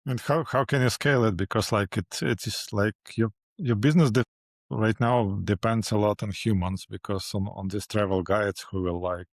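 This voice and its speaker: A man's voice: low voice, no echo. A man hosting a podcast interview, asking questions conversationally and rephrasing them as he goes. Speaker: podcast host.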